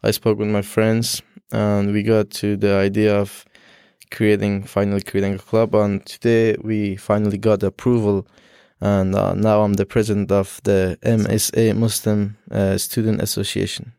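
Young Afghan man, halting, gravelly. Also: fairly low pitch, level tone, warm tone, dry recording, clean studio sound. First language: Dari Persian. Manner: halting, many restarts and fillers. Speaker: student guest. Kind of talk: casual interview.

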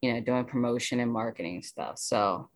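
Low-voiced woman chatting as a guest. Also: moderate pace. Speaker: voice actor guest. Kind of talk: casual interview chat.

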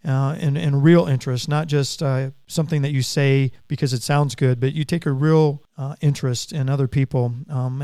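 A man hosting a podcast in a conversational interview style, speaking in a halting, wordy way with repeated phrases. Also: mid-range voice, darkish tone, clear, resonant tone, no echo, clean studio sound. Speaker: podcast host. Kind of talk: conversational interview, praising guest.

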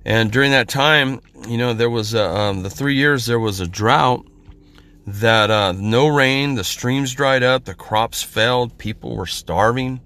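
A middle-aged man from Central California, hoarse from a head cold, giving an informal podcast sermon in a halting way with many pauses and fillers. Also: mid-range voice, fairly bright tone. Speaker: podcast minister.

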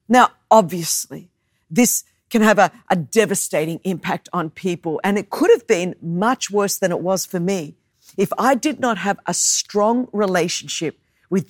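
Animated woman preaching with dry sound. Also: mid-range voice, no room sound. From Australia. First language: Greek. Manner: animated storyteller, self-mocking humor, emphatic repetition. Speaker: guest preacher. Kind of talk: sermon with personal story.

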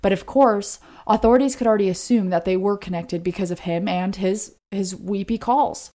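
A woman's voice: medium pitch, normal speed, mellow. A woman co-hosting a podcast, narrating true crime in an informal way with chatty asides. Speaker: podcast co-host.

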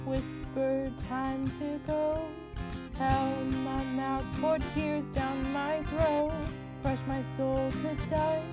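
High, very slow, despairing mourner. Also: female voice, little pitch movement, loud music behind the voice, low-quality recording. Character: heartbroken young woman in mourning, despairing. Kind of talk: lyrical sung and spoken lament in an audio play.

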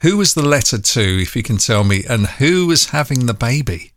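A man with a North London accent hosting a casual interview, speaking in a friendly, halting way with many ums. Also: mid-range voice, lively intonation, a little hiss or hum. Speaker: podcast host.

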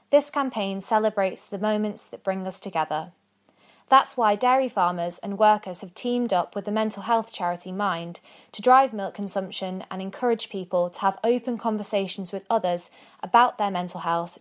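A woman reporter reads a scripted feature for a podcast. Her delivery is upbeat and promotional, at a middle pitch. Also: moderate pace. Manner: bright, upbeat promotional read.

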